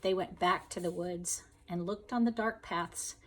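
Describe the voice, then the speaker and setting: A woman's voice: fairly low pitch, fairly even intonation, a little slow. A woman teacher reading a children's story aloud, expressively, speaking directly to her young listeners.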